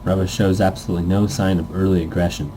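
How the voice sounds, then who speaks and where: A man's voice: sits low, steady tone, fast, warm tone, slight background noise. A man casually reading a visual novel game aloud, stumbling over lines and tossing in goofy asides.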